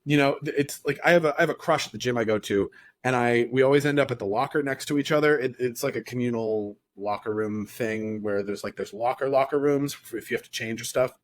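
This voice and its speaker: A man's voice: mid-range voice, quick pace, dry recording. A man hosting a podcast, giving dating advice in a rambling, profane, tangent-filled way. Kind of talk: casual podcast chat.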